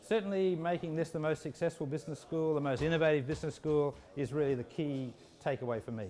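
A middle-aged man with an Australian accent giving measured, unscripted interview answers with some hesitant phrasing. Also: on the high side, darkish tone. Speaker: business school dean, interviewee. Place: walking through a university building. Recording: echoey indoor hallway.

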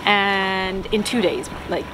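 A young woman talks casually and cheerfully to the camera while sitting in a sailboat's cockpit. Her pitch sits in an ordinary middle range. Clear outdoor boat ambience runs underneath. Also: slow pace, noisy background. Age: young adult. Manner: chatty, upbeat, laughs often. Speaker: sailing vlog co-host.

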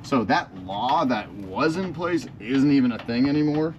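A man's voice: mid-range voice, expressive, moderate pace, slight background noise. A young man, an HVAC technician, explaining casually in a rambling way with many fillers and restarts. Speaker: HVAC technician and channel host. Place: backyard beside an AC unit, later a small office.

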